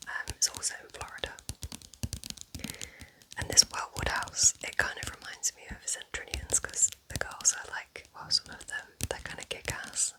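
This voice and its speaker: A woman whispering in a breathy, unvoiced voice, chatting gently and casually in short soft phrases as an ASMR presenter. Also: moderate pace, poor audio. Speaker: ASMR creator presenting book recommendations.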